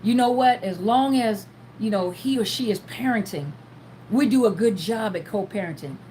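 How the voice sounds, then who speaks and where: A woman's voice: mid-range voice, animated, moderate pace, warm tone, slight background noise, low-quality recording. A woman talking freely on a livestream as a therapist, with frequent fillers and restarts, sometimes acting out the inner voice of the person she describes. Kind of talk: unscripted livestream talk.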